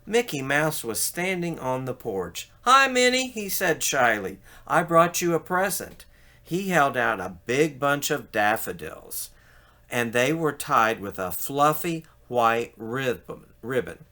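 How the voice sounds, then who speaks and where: Male storybook reader, unhurried and expressive, with bright tone and wide intonation. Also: medium pitch. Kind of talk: expressive children's story read-aloud.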